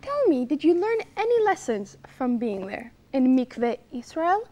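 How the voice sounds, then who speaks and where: A woman's voice: high voice, very animated, normal speed, dark tone, lo-fi sound. A woman playing a perky talk show host, introducing and questioning a guest in a showy, bubbly way. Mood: polite. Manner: bubbly, showy announcer delivery. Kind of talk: parody talk show interview.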